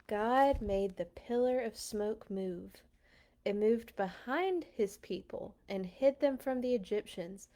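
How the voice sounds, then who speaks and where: A woman reads a story for children at an unhurried pace. Her voice sits at a medium pitch, and she is expressive and playful, slipping into casual asides. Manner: playful, animated in telling. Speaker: children's storyteller at a church read-along.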